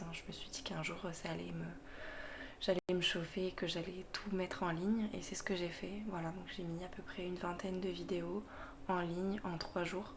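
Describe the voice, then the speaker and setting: A woman with a fairly low voice talks slowly and without a script, stopping and restarting her sentences. She often drops to a soft, half-whispered tone and laughs quietly at herself. A faint hiss sits under her voice. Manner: slow, hesitant, with many fillers and restarts. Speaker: tarot reader and channel host. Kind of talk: unscripted casual vlog monologue.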